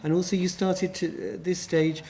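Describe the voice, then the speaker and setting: Mellow-voiced male interviewer. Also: sits high, little pitch movement, normal speed, slight background noise. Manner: leading questions with tag questions. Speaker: interviewer, journalist.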